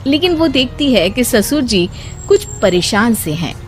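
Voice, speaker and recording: High-voiced, unhurried woman storyteller. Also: very animated. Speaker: narrator. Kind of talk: scripted moral story narration. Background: background music.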